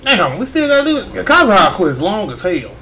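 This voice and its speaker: A man's voice: high voice, huge pitch swings, brisk, bright, forceful tone, a little hiss or hum. A man giving casual, slangy commentary on a video game as he plays. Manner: slangy, informal. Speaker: gaming streamer. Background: faint game music.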